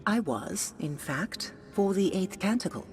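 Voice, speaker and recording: A woman voicing a blind older songstress in a video game, who speaks softly, often in a hushed, intimate half-whisper, wise and gently teasing. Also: mid-range voice, lively intonation, a little slow, soft background music, lightly acted. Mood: gentle. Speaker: game character.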